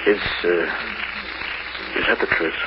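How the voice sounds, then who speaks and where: An actor playing a cool, scheming young crook in 1940s radio noir style, clipped and tough. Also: male voice, measured pace, over a noisy crowd, close to an everyday voice. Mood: intense, probing. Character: scheming, cool, hard-boiled young male lover and crook. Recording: muffled, noisy old radio recording.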